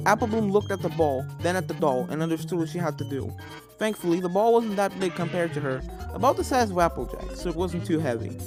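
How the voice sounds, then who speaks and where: A woman's voice: lowish voice, expressive, fast talker. A woman reading scripted fan fiction as a story narrator. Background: video game music.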